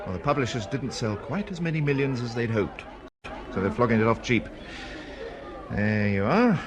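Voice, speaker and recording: Actor as wry grumbling male teacher, mid pitch, very up and down, chatter behind him. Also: expressive, moderate pace, lightly acted. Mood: wry, disgruntled. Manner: pedantic, self-deprecating, wry. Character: disgruntled, dry schoolteacher and struggling novelist, man. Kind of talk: naturalistic radio drama dialogue.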